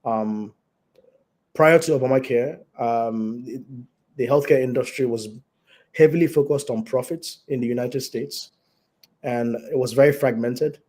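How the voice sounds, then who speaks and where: Raspy-voiced doctor, hoarse with flu. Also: male voice, mid-range voice, darkish tone. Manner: measured, explains terms, many fillers. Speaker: doctor, guest. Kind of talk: explanatory interview talk. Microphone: video call.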